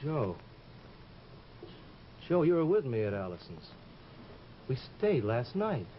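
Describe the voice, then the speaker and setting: A man's voice: mid-range voice, sing-song, slow, slight background noise. Troubled man in muffled old radio drama. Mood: agitated. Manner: earnest, intense, insistent. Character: troubled, insistent male sculptor haunted by a dream. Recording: muffled old radio recording, loud music behind.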